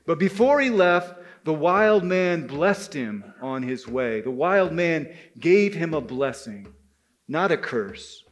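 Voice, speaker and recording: A man with a somewhat high voice narrates a tale to a live audience. He is deliberate and dramatic, leaving pauses between phrases and leading his listeners through the story like a group guide. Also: big pitch swings. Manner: deliberate, pausing, addresses listeners as brothers. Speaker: storyteller leading a men's spirituality gathering.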